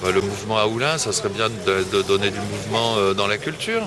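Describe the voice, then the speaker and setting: A man's voice: fairly low pitch, fast talker. A man answering street interview questions, often searching for words and repeating himself. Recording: loud crowd noise behind.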